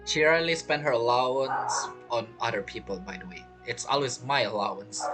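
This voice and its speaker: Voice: mid-range voice, fairly quick, over music. A game streamer giving casual let's play commentary in non-native English phrasing.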